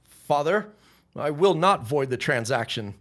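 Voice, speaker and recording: A man playing a defiant, contemptuous grown son in a period audio drama, with a formal, measured and cutting delivery. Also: a little high, animated, fairly bright tone. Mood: firm, defiant.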